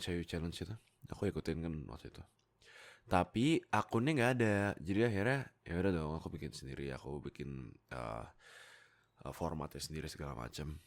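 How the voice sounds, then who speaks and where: A young man, chatting casually and self-deprecatingly to his followers about his life as a voice actor, on a close microphone. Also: a bass voice. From Indonesia. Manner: informal, self-deprecating, giggly. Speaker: amateur voice actor and content creator. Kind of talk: casual storytelling chat to followers. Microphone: close USB microphone.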